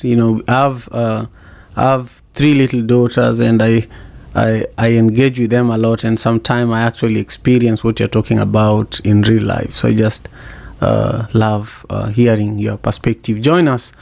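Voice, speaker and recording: A man's voice: mid-range voice, moderate pace, gravelly, no echo. A man from Africa hosting a casual live audio-room podcast, speaking steadily with lots of "uh, you know". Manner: steady, filler-heavy with frequent "uh, you know". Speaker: podcast host. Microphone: phone app.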